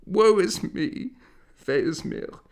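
A man's voice: high-pitched, expressive, slow pace, voice pushed up, heavily acted. A man voicing superstitious, alarmed village women exclaiming in a storybook reading. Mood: frightened wailing. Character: frightened, superstitious village women gossiping at night. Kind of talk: acted storybook dialogue.

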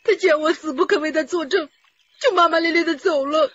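A woman's voice: sits high, fairly quick, a bit bright. An actress playing a distraught woman rose grower who cries and pleads while telling detectives what happened. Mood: tearful. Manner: sobbing, pleading, wailing. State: crying. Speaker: witness in a murder case. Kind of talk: broadcast radio drama acting. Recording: dull lo-fi broadcast audio.

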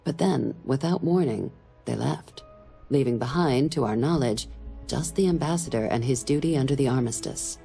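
An actress playing a composed woman diplomatic official in a game, giving a briefing in a measured, formal, dry way. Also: very deep voice, creaky, mellow, faint music behind. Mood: measured, wry. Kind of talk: scripted game dialogue, mission briefing.

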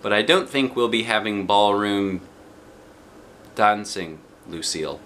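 A man voicing a calm, patient woman kindergarten teacher in a storybook, measured and restrained. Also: lowish voice, a little hiss or hum.

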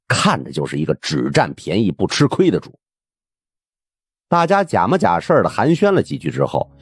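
A man narrating an audiobook in a colloquial, wry and expressive first-person storytelling style. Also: lowish voice, husky, no room sound.

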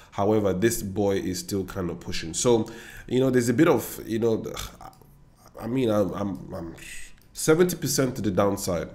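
Young Nigerian trader, lowish voice, confident and chatty, desk-mic screen-share talk. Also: male voice, warm tone. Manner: confident, chatty, talks straight to viewers with asides. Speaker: forex trader and educator. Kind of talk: screen-share trading analysis. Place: indoor room.

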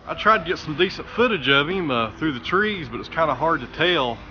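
A man's voice: a little high, expressive, a little fast, highs cut off, faint music behind. A man in his thirties, a woodworker vlogging in a plainspoken, chatty way, first outdoors in the woods and then in his small shop, recorded on the camera's mic. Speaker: woodworker and channel host. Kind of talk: casual workshop vlog.

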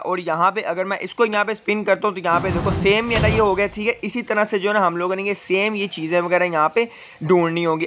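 A man's voice: sits high, a bit bright. A man presenting a casual gaming walkthrough, explaining step by step in a repetitive way with frequent verbal tags. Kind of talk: casual game event walkthrough. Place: voice-over on screen-captured gameplay. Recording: dull, lo-fi voice recording.

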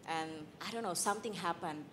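A woman from Indonesia with an Indonesian accent, sharing a candid personal testimony on a church panel, halting with fillers, on a handheld mic in a large hall. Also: on the low side, background noise. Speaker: panel guest.

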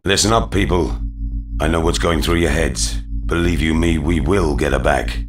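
A male actor playing a gruff, stern colonel in a video game, speaking with terse authority. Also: a bass voice, normal speed, distant mic. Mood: firm. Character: gruff commanding military colonel, stern and resolute. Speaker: military commander. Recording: echoey, loud game music behind.

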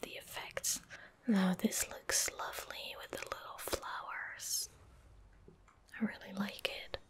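Whispering young woman, slow ASMR commentary. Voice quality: breathy, whispered. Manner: calm, gentle, unhurried. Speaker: streamer narrating her gameplay. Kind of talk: soft-spoken ASMR game commentary. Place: indoor room at a gaming desk. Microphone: close desk microphone.

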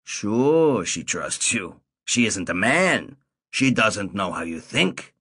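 A man's voice: on the low side, very animated, slow, a bit bright, husky, no echo, full character voice. An old man in a nursing home, pushy and teasing, drags out his words in a sly, nagging way, as a male narrator puts him on. His voice climbs and dips across each line. The storybook reading sounds dry and close. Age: older.

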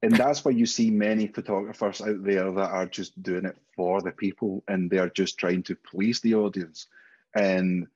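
A man from Spain speaks English with a Spanish accent, his voice slightly low with a husky edge. He chats openly and swears freely as a guest on a video call. The sound is somewhat dull and lo-fi. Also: darkish tone. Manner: candid, sweary storytelling. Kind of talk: casual interview chat. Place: home room, indoors.

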